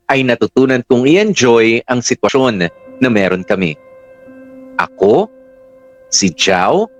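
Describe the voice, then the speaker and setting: A man with a Filipino accent performing reflective first-person radio drama narration as a lovestruck middle-aged parent. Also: mid-range voice. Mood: excited, confiding. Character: middle-aged parent in love, confiding, hopeful storyteller.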